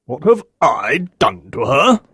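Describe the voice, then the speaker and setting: Storybook Englishman, acted by a man: big, emotional, challenging, lively higher delivery, very dry sound. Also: a little slow, dry recording. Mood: angry.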